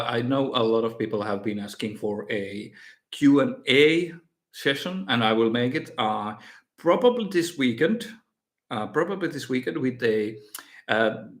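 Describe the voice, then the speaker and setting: Finnish man hosting a relaxed live Q&A at home; mid-range pitch, halting delivery with frequent restarts. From Finland. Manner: hesitant, many fillers and restarts. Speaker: miniature painting streamer. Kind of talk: casual live stream Q&A.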